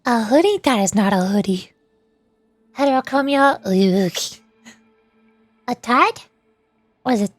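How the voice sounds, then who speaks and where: High-voiced young woman streaming a game. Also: lively intonation, a little slow, long pauses, no room sound, faint music behind. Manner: playful, rambling, teasing. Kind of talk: casual livestream game commentary.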